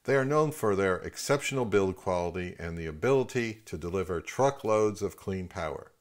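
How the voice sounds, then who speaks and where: A man's voice: low-pitched, animated, normal speed. A man in his fifties giving scripted commentary on products as the host of a review channel.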